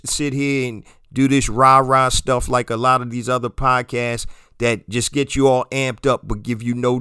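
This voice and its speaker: Man, hoarse, smooth resonant voice, dry close sound, solo podcast host, motivational. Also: mid-range voice, sharp tone, dry recording. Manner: promotional, motivational, addresses listeners as guys.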